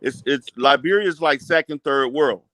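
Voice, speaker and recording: A man's voice: medium pitch, normal speed, a bit bright, no echo. A man in a heated casual group debate, speaking insistently, cutting in and repeating his words.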